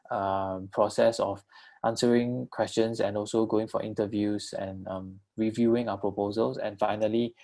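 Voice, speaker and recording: A man from Malaysia presents his venture in a low, gravelly voice. He talks in a halting way, with pauses, fillers and restarts, and he takes questions from an online audience. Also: warm tone. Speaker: co-founder presenting his enterprise. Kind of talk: virtual talk with live questions.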